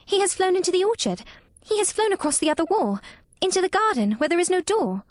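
A woman's voice: high-pitched, very animated, fairly quick, no room sound, studio quality, voice pushed up. A woman voicing a lonely, imperious little girl who speaks with direct, eager curiosity in a storybook reading. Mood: excited, curious.

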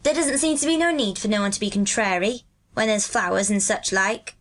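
A woman's voice: medium pitch, brisk, fairly bright tone, lightly acted. A woman reading an audiobook voices a cheerful, kindly country boy with a broad Yorkshire dialect and a hearty manner.

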